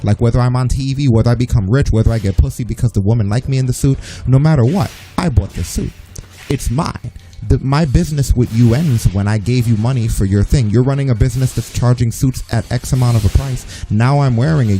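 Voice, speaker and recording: Podcast host, young adult man, very dark mellow tone, emphatic and opinionated debater. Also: mid-range voice, a little hiss or hum. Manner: emphatic, opinionated, argues with rising voice. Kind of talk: heated casual podcast chat.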